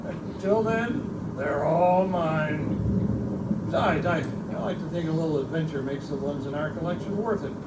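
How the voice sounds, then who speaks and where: A man's voice: a little high, unhurried, lightly acted. A man playing a folksy, wisecracking keeper of a remote outpost library, chatty and theatrical, in pulp storytelling style at a live show. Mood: amused, chatty. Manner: colloquial, chatty, theatrical, irreverent. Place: live in a bookstore. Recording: roomy distant live recording, loud background noise.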